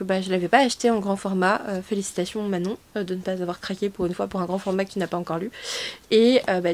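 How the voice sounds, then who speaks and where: Woman, medium pitch, book vlogger, casual chat, rambling, self-correcting. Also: a little mellow.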